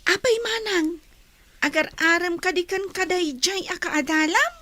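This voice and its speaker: A woman's voice: very high voice, measured pace, bright, forceful tone, exaggerated voice. A woman with a Filipino accent playing a teasing, nosy little sister in a radio drama. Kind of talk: Ilocano radio drama acting.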